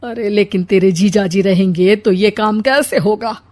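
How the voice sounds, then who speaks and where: A woman's voice: medium pitch, fairly even intonation, normal speed, a little mellow, natural voice. A woman voicing an older, scheming mother-in-law in a cartoon, speaking in a dramatic, complaining way. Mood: plotting.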